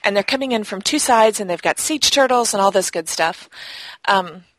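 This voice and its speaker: A woman's voice: medium pitch, animated, moderate pace, no echo, rough recording. Giggly woman co-hosting a gaming podcast. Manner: giggly, teasing, chatty. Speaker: podcast co-host. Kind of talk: casual gaming podcast chat.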